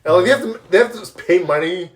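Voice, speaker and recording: A man co-hosting a casual movie podcast in a laid-back, agreeable way, often trailing off quietly. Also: sits high, lively intonation, fast talker. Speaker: podcast co-host. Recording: roomy echoey room.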